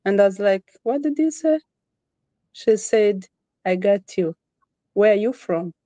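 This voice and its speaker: A woman's voice: medium pitch, animated, a little slow, warm tone, dry recording. Rwandan woman on a podcast, casual storytelling, repeats herself for emphasis, dry sound. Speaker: podcast guest. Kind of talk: casual conversational interview.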